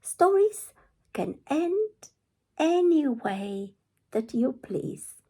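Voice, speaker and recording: A woman's voice: sits high, very animated, drawn-out words, mellow, pitched above the performer's own voice, full character voice. A woman voicing a bold, bookish young girl in a children's storybook, earnest and questioning. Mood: earnest, persuasive.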